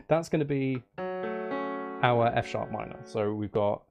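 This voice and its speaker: A man's voice: mid-range voice, unhurried, creaky. A man chatting casually on a live stream as he makes music, geeky and playful, thinking aloud in a rambling way.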